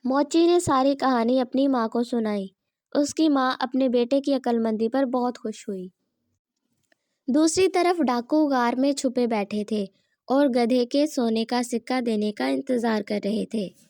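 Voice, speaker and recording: A woman's voice: a little high, measured pace, a little mellow, dry recording, clean studio sound. A woman reading scripted narration for a children's story.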